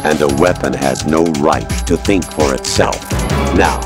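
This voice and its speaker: Super deep sinister boss man in a game, measured n condescending, loud music. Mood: cold command. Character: cold, commanding male mastermind, calmly sinister. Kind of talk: dramatic video game cutscene acting.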